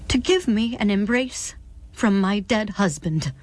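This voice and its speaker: A woman's voice: mid-range voice, lively intonation, unhurried, a little mellow, dry recording, natural voice. A woman voicing a trembling grieving widow. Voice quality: tremulous. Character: grieving widowed first lady, trembling, desperate.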